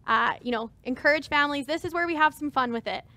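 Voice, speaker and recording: A woman's voice: sits high, fast, sharp tone, no echo. A woman in her thirties on a webinar panel, giving earnest advice about planning with many fillers. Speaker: farm transition advisor. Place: stage with dark curtains.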